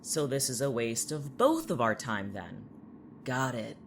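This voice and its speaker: A defiant, world-weary young woman for an audio drama. Her voice is strikingly low yet lively in pitch, and she is curt and guarded. There is slight background noise. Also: unhurried, exaggerated voice. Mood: sullen, defiant. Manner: curt, sullen.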